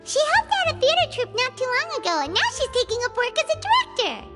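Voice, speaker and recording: A woman's voice: very high voice, wildly swooping pitch, quick pace, full character voice. A woman voicing a tiny, childlike, eager fairy-like companion in a video game, chirpy in manner. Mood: eager, cheerful. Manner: speaks of herself in third person, chirpy. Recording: loud music underneath.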